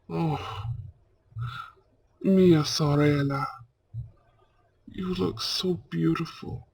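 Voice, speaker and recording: Man acting a slow, husky, tender older brother. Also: fairly high pitch, a little mellow, no room sound, full character voice. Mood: tender, gentle. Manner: tender, earnest, halting delivery with sprinkled Italian endearments. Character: warm, protective older brother of a mafia groom. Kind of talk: scripted romantic audio roleplay, ASMR.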